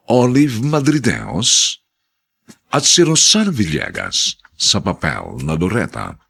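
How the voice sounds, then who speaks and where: Slow gravelly guy narrating a radio drama, solemn and theatrical, swings his pitch a lot. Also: fairly bright tone. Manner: solemn, drawn-out theatrical phrasing.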